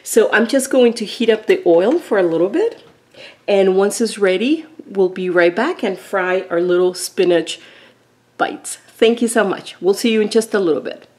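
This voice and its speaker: A woman's voice: mid-range voice, animated, normal speed, a little mellow. A woman from Argentina talking through a recipe step by step as she cooks in her home kitchen. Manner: chatty, explains steps as she cooks. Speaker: cooking vlogger. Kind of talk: casual cooking demonstration.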